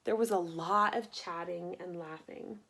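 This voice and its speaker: A woman reading a picture book aloud for children in an expressive, deliberate way, acting out the story. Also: lowish voice, creaky, slightly rough. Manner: animated, deliberate, acts out sound effects. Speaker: storybook reader.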